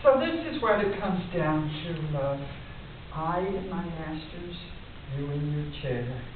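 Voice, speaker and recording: An older woman poet reads her work to an audience in a measured, deliberate way, with casual introductions, picked up by a distant microphone. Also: low voice, expressive. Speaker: poet reading her own poems. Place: indoor room at a conference, speaking at a lectern. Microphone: distant camera microphone. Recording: muffled, hissy recording from far off in echoey room.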